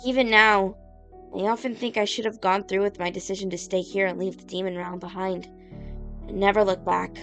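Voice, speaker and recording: A woman's voice: medium pitch, little pitch movement, fairly quick, a bit bright, faint music behind, close to an everyday voice. A woman playing an earnest, guilt-ridden teenage girl in a cartoon comic dub, speaking in a sincere, dramatic way. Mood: remorseful.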